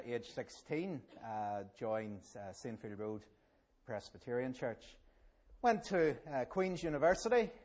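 A middle-aged Northern Irish man, a minister, telling his life story at a public event in a halting way that often repeats words. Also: medium pitch. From Northern Ireland. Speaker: Presbyterian minister. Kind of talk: personal testimony at a public event.

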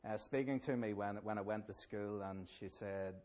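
Halting middle-aged Northern Irish man. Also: fairly low pitch, a little mellow. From Northern Ireland. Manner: halting, repeats words often. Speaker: Presbyterian minister. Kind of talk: personal testimony at a public event.

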